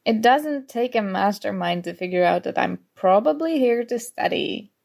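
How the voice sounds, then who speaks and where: A young woman playing a sarcastic, competitive college student in an ASMR roleplay, teasing and exasperated, with a creaky voice. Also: mid-range voice, expressive, normal speed, darkish tone. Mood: sarcastic dismissive. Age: young adult. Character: snarky competitive college student, grudgingly fond academic rival. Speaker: audio roleplay voice actor.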